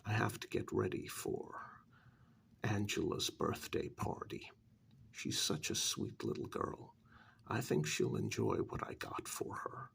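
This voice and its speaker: Man, ASMR storyteller, asylum director recounting eerie events, half-whispered, deep fry in the voice, very slow and deliberate. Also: huge pitch swings, slight background noise. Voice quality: breathy, half-whispered, gravelly. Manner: slow, deliberate, pausing between short phrases. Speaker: narrator telling a horror tale in first person. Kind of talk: soft-spoken ASMR story role-play.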